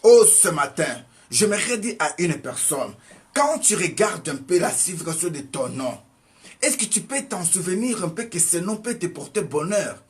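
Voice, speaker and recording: A preacher delivering an exhorting sermon with a high voice. He fires rhetorical questions at his listeners and swings between loud outbursts and soft passages. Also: big pitch swings, normal speed. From Congo. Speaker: evangelist preacher.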